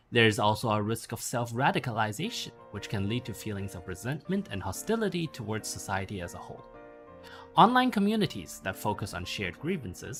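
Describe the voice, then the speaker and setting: A man narrates a prepared essay in an explanatory, measured manner. His mid-range voice rises and falls in an animated way, leaning on the openings of his sentences. Music plays underneath the reading. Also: mid-range voice. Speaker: narrator reading a bedtime-story style essay. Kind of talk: scripted narration read aloud.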